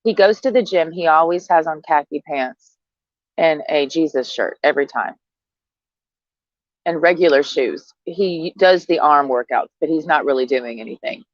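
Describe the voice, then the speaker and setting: A woman in her thirties talks straight to camera, brash, profane and sarcastic, laughing between her lines. The sound is dry and close, a little dull in the highs. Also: moderate pace, dry recording. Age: 30s. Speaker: vlogger. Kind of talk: casual monologue to camera.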